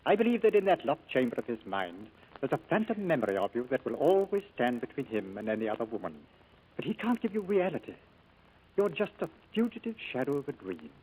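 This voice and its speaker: An actor playing a grave doctor and confidant in a 1940s radio drama, husky-voiced, solemn and emphatic. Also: male voice, medium pitch, very animated, fairly quick, darkish tone, low-quality recording. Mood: grave, cautioning.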